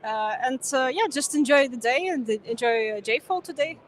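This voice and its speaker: A woman's voice: sits high, lively intonation, moderate pace. A young woman whose first language is Ukrainian, talking casually and hesitantly in a conference interview, with many fillers. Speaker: conference guest, former speaker. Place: busy conference venue.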